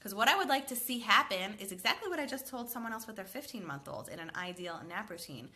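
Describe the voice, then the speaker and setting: Woman, thirties, bright clear voice, chatty and upbeat, stuffy from a cold, answers viewer questions by name. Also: mid-range voice, animated, fast talker. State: congested from a cold, sniffling. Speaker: sleep consultant answering parents' questions. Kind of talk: live Q&A, reading comments aloud.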